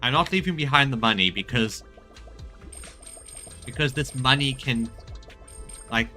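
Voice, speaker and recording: A man giving casual let's-play commentary, halting as he thinks aloud and reads game text. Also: medium pitch, expressive, bright, forceful tone. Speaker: game streamer. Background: game music.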